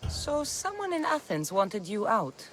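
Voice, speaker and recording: A tough young female mercenary in a video game, speaking with a Greek accent. She is wry, dry and self-assured, and her pitch moves in an animated way. Faint ambient noise sits under the dialogue. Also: a little slow. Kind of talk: video game cutscene acting.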